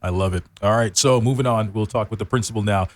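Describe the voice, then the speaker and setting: A man with a low voice hosts a talk show from a dry, close-sounding studio. He speaks informally, with effusive encouragement, as if chatting. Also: fast talker, no room sound. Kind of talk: casual radio interview chat.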